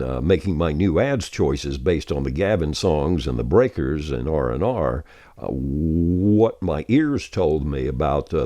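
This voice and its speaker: An older man speaking as a veteran radio host, explaining his trade in a measured, conversational monologue with anecdotes. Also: very deep voice, warm tone, no echo, clean studio sound.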